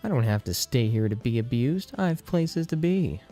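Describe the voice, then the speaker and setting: Guy doing a fed up young student, defensive, sarcastic, higher pitched, story character. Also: animated, normal speed, dark tone, faint music behind, lightly acted. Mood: exasperated. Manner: protesting, sarcastic.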